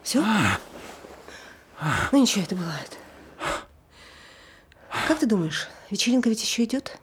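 An actress playing a tipsy, nervous married woman on stage, talking in an awkward, self-justifying way with a husky voice. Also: fairly high pitch, expressive, moderate pace, a little hiss or hum, lightly acted. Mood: awkward chatter. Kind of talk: naturalistic stage acting, monologue.

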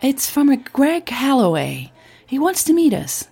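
A woman's voice: sits high, sing-song, measured pace, warm tone, pitched above the performer's own voice, exaggerated voice. Playful, teasing woman blogger, read by a female narrator. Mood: casual. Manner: playful, mock-exasperated. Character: teasing, sociable female tech blogger and business owner.

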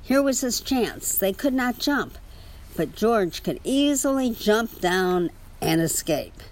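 A woman's voice: on the high side, animated, takes it slowly, a little mellow. A woman reading a children's story aloud to a child, with casual asides.

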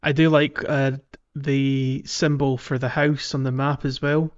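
A man's voice: mid-range voice, little pitch movement, unhurried, clear, resonant tone. Man chatting casually, slightly dull audio. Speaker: podcast co-host. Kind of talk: casual chat about a video game.

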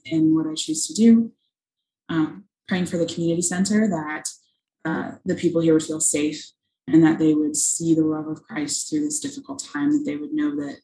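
A woman's voice: low voice, darkish tone, echoey room, low-quality recording. A young woman, a missionary, answering interview questions earnestly and thoughtfully, with frequent ums. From United States.

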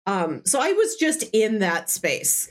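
A woman's voice: mid-range voice, wildly swooping pitch, takes it slowly. A woman in her early thirties co-hosting a movie podcast, chatting casually about films. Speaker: co-host of a movie review podcast. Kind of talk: casual chat, movie discussion.

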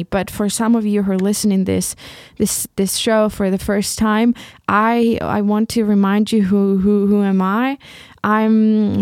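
A young Ukrainian woman with a Ukrainian accent, speaking English as a second language. She hosts a solo podcast and talks in a halting way, restarting and repeating words often. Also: mid-range voice, a little mellow, no echo, studio quality. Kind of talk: casual solo podcast monologue.

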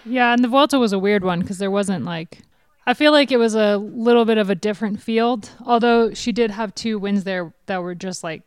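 Crisp, enthusiastic woman podcaster. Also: mid-range voice, moderate pace, no echo, clean studio sound. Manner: enthusiastic, chatty, lots of "like". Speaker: podcast co-host. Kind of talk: casual cycling chat.

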